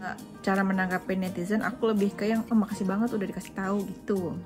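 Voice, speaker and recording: A woman's voice: medium pitch, fairly even intonation, fast talker, over music. A young woman from Indonesia, a vlogger chatting casually at home as she answers viewer questions, with frequent fillers. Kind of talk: casual chat. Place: home in Japan.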